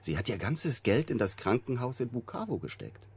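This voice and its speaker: An actor playing a self-assured male psychotherapist in a German radio crime drama, measured, condescending and dryly ironic. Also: mid-range voice, sing-song, noisy background. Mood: lecturing.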